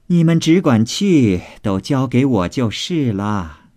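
Man voicing a bossy, animated young mistress. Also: mid-range voice, moderate pace, slightly rough. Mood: brisk. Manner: bantering, commanding, exclamatory. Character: brisk, bossy, teasing young lady of the house.

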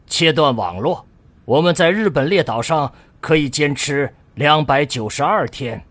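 A man's voice: on the high side, a bit bright, no room sound, full character voice. A man playing a sober delegate speaking formally and matter-of-factly in an audio drama. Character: sober male Japanese delegate reckoning grim survival odds.

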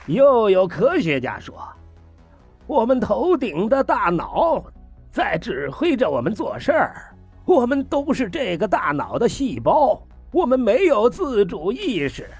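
A man playing a fiery station director in an audio drama, delivering a grandiose, declamatory political speech in a husky, strained voice. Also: high voice, huge pitch swings, slow pace, no room sound, soft background music, heavily acted.

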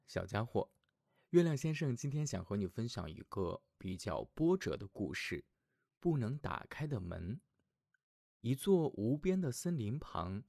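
A man narrating a scripted fairy tale for small children as their storyteller, in an expressive, affectionate manner. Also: mid-range voice, gravelly, dry recording, clean studio sound.

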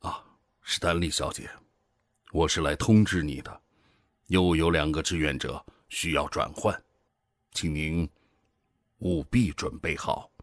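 An actor playing a weary, guilt-ridden older male commander in a radio drama, brooding and deliberate with sighs. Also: extremely low pitch, steady tone, no echo, studio quality. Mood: quiet resolve. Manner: brooding, deliberate, sighing soliloquy.

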